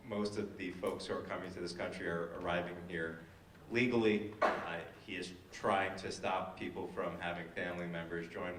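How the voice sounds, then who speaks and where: Very low, monotone man on a panel. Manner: halting, repeating words. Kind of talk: political remarks on a public panel. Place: indoor event room. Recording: echoey room, distant mic, faint noise.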